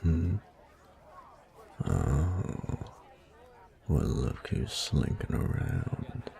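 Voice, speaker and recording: Man acting a rumbling, gravelly, cocky bully. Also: warm tone, full character voice. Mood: intrigued, hungry. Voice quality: very deep, gravelly, breathy murmur. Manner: slow, drawling, taunting. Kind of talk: scripted audio roleplay monologue.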